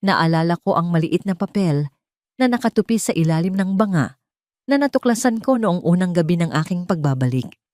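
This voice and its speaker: A woman narrates a dramatic first-person story. Her voice sits on the low side, and the recording is close and dry, with little room sound. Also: animated, a little fast, a little mellow, no room sound.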